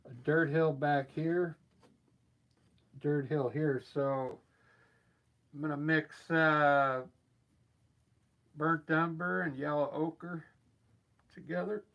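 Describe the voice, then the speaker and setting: Man with scratchy voice, slow unhurried talk, relaxed, many fillers, live painting demo. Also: fairly high pitch, gravelly, highs cut off. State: scratchy voice from allergies. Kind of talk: casual live painting demonstration.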